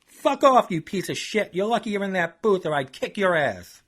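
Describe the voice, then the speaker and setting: A man putting on a raised, jumpy voice for a shifty liar who turns defensive and swears freely. The pitch swings widely as he dodges questions and snaps back. It comes across as a storyteller's put-on character voice. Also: big pitch swings, moderate pace, a bit bright, husky, exaggerated voice. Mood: angry. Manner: defensive, foul-mouthed. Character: shifty, hot-tempered man caught lying.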